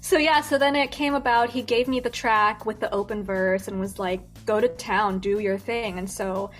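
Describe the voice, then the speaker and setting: A woman's voice: medium pitch, fairly even intonation, moderate pace, faint music behind. A young American woman chatting as a guest singer in an interview, talking with lots of fillers, restarts and giggles. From United States. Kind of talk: casual interview chat.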